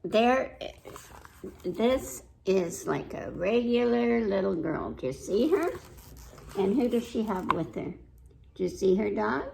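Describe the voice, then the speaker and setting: A woman hosting a children's storytime, reading aloud and pausing to put simple questions to young listeners. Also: medium pitch, expressive, creaky, a little mellow, slight background noise. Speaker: children's library storytime host.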